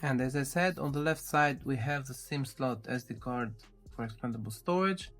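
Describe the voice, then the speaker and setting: A man presenting a casual tech unboxing, explaining things step by step with small hesitant pauses. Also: medium pitch, a bit bright, faint music behind. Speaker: tech reviewer presenting his channel.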